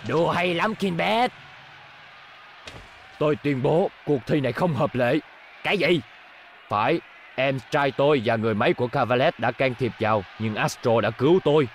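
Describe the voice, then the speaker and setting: A man acting dramatic cartoon men, creaky voice. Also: mid-range voice, moderate pace, long pauses, slight background noise, close to an everyday voice. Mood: stern. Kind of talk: animated cartoon dub, Vietnamese dialogue.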